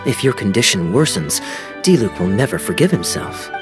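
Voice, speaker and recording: A man's voice: fairly low pitch, very animated, normal speed. An actor voicing a suave young male knight in a game cutscene, easygoing, wry and charming. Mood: caring. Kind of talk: video game cutscene dialogue. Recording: game audio with background music.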